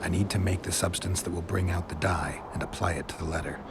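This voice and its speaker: Man acting a very deep, deadpan monster hunter. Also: heavy background noise, close to an everyday voice. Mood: quiet, musing. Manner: deadpan, measured. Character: gruff, world-weary, dry-witted monster hunter, adult man. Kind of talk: video game voice acting.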